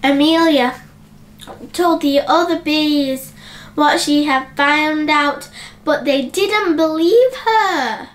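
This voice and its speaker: A woman narrating a children's bedtime story in an expressive, deliberate manner aimed at small children. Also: high voice.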